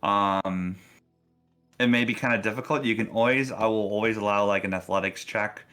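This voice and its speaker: Bright-voiced man running a tabletop game. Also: fairly low pitch, steady tone, normal speed, soft background music. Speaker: game master. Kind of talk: improvised tabletop game narration, casual.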